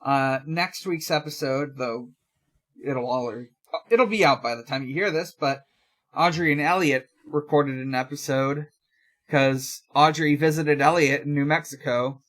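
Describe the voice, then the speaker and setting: A young man co-hosting a podcast in casual chat, talking in a halting, rambling way and often correcting himself. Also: fairly high pitch, fairly bright tone. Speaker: podcast co-host.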